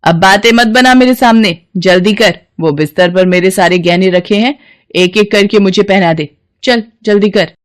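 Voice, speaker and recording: A woman's voice: medium pitch, moderate pace, lightly acted. Storybook villainess: arrogant, greedy rich mistress, imperious and cutting, voiced by a female narrator. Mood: impatient, scolding. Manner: haughty, bossy, scornful.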